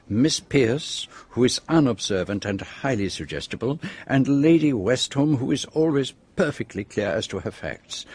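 A detective from a radio drama, revealing a case point by point. His voice is middling in pitch with a little husk to it. He is deliberate and theatrical, fond of the well-placed pause. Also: a little mellow, husky. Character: methodical, theatrical male detective revealing a murder.

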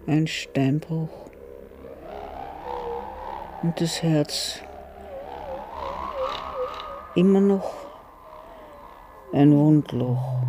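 An actor playing an old dying man haunted by his dead family, brooding and halting, in a poetic radio drama. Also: mid-range voice.

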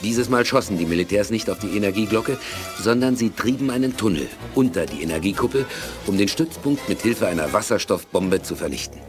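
An actor narrating a German sci-fi radio play as a sober storyteller. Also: male voice, on the low side, lively intonation, fairly quick, lightly acted. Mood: sober suspense. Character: sober male storyteller of space adventure. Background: music.

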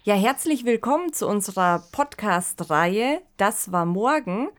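Woman, medium pitch, chatty, analytical, casual podcast discussion, frequent tag questions. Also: expressive, unhurried, soft background music. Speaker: podcast co-host.

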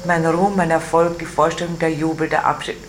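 A woman's voice: sits low, flat delivery, brisk, noisy background. An older woman talking candidly in an interview, emphatic, setting down short weighted phrases one by one. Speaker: interviewee, retired performer.

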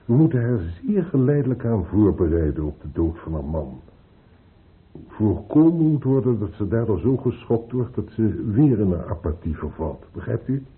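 A man's voice: lowish voice, sing-song, normal speed. A man acting a grave, cautious hospital doctor in a radio drama, formal and emphatic. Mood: grave, instructive. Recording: muffled phone-like old radio recording.

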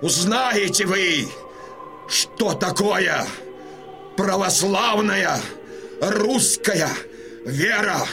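An actor playing a proud, defiant old Cossack chieftain in a radio drama, impassioned and declamatory. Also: male voice, high-pitched, lively intonation, creaky, exaggerated voice. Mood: defiant, prophetic. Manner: declamatory, impassioned, drawn-out delivery. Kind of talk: Russian radio drama acting. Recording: music behind the voice.

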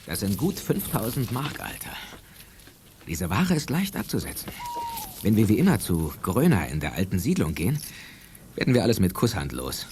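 An actor playing a gruff, bossy adult crook in a 1980s German radio play, curt and threatening. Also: male voice, on the low side, big pitch swings, moderate pace, background noise. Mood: conspiratorial, curt. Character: gruff adult male crook, scrap dealer.

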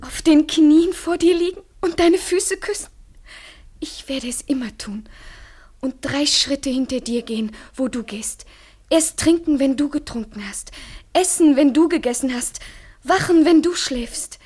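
An actress playing an anguished, submissive woman desperately in love, breathy, in an intense poetic radio play. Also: high-pitched, a little slow, a little mellow.

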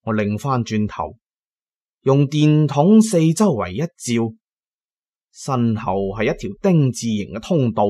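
A man narrating an adventure audio drama in a colloquial, expressive first-person style. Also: mid-range voice, unhurried, breathy edge. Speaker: storyteller, narrator.

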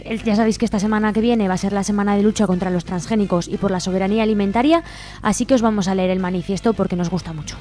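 A woman's voice: medium pitch, steady tone, rapid-fire, mellow. Spanish woman co-hosting radio over music. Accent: Spain. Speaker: radio co-host. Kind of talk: community radio show presenting. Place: radio studio.

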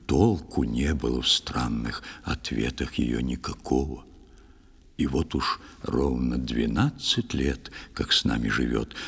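A man playing an old fisherman who recounts a foundling's story in unhurried, wondering verse, in an audio drama. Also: low voice, slightly rough, long reverb tail, full character voice. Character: old fisherman telling of his mysterious foundling daughter.

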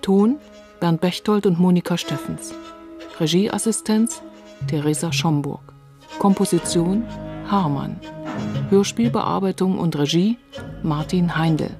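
A woman radio announcer reading scripted credits in a measured, formal way. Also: lowish voice, over music.

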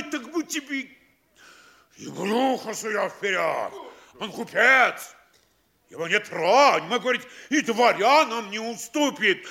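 An actor playing a pompous, bullying provincial mayor in a Russian comedy audio play, scolding theatrically between sly quiet and bellowing. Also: male voice, sits high, exaggerated voice.

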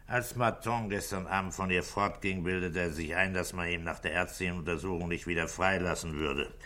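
Deep, sharp-voiced shrewd police inspector. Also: male voice, steady tone. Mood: probing. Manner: deliberate, insistent questioning. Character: shrewd male police inspector, methodical, grave, probing. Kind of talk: 1960s German radio drama acting. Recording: vintage 1960s radio studio recording.

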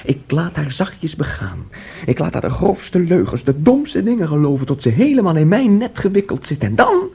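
An actor playing an anxious, boyish young man, naive and boastful, with an agitated, halting delivery in a Dutch radio play. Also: fairly high pitch, wildly swooping pitch, creaky, warm tone, slightly rough, exaggerated voice. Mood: defensive, pleading. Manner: agitated, halting, repeating himself.

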